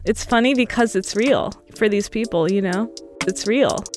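A woman's voice: medium pitch, normal speed, music in the background. A woman talking casually and thoughtfully, giving commentary with plenty of "you know" and "like". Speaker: podcast producer and guest commentator.

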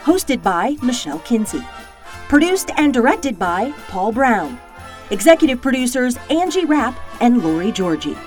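Female announcer for programme credits, higher register, very melodic delivery. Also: big pitch swings, moderate pace, over music. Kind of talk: scripted sponsor and credits announcement.

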